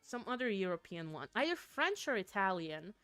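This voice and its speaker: Smooth-voiced giggly Finnish woman streamer. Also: mid-range voice, sing-song, moderate pace, fairly bright tone, no room sound, faint music behind. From Finland. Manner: giggly, stammers and repeats words. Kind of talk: casual livestream chat with viewers.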